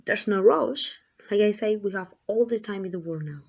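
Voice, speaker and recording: A woman's voice: medium pitch, moderate pace, gravelly, darkish tone, husky. A woman playing a lovesick, possessive female kidnapper in an intimate ASMR roleplay, doting and rambling in a flustered, coaxing way. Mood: doting, teasing. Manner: coaxing, rambling, hesitant asides.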